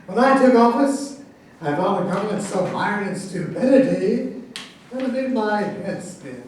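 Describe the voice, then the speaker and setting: An actor playing a boastful, pompous incumbent president who taunts debate rivals in a satirical staged reading. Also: male voice, high-pitched, animated, measured pace, darkish tone. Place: live staged reading in an echoey room. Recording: echoey room, distant mic, faint noise.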